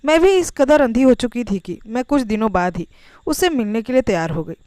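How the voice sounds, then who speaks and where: A woman's voice: fairly high pitch, expressive, a little fast, darkish tone, dry recording. A woman reading a scripted, first-person emotional story as its narrator.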